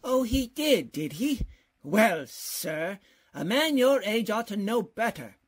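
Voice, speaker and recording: A blustering older male professor from a radio comedy, acted by a woman. The voice is husky and slow, swinging widely in pitch. He stammers, fusses and backpedals in a flustered way. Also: big pitch swings, exaggerated voice. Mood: fussy, indignant. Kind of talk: old-time radio comedy acting.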